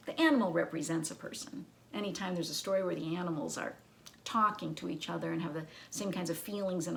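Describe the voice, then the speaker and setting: A woman's voice: lowish voice, creaky, slight background noise. A woman giving an educational talk to children in a teacherly way, with simple explanations and rhetorical questions. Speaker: children's librarian and storyteller.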